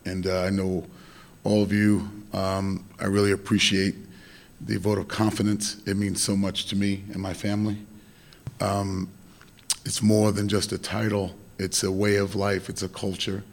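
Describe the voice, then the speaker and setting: A hoarse man giving a halting, heartfelt thank-you speech into a meeting-room microphone. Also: low-pitched, monotone. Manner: halting, many pauses and fillers.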